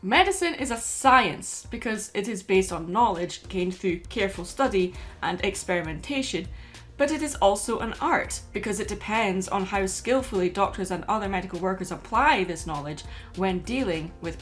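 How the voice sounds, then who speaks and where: A woman narrates a scripted video essay in a mid-pitched voice, with faint music underneath. She sounds like a commentator laying out an argument. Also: lively intonation, moderate pace.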